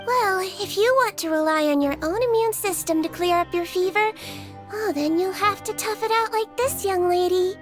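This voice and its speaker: A woman playing a small, gentle nonhuman girl doctor in a video game, reassuring and composed with a quiet slyness. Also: extremely high pitch, normal speed, music in the background. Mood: calm, caring. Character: small, gentle young female nonhuman doctor, calmly sly. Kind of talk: scripted video game dialogue.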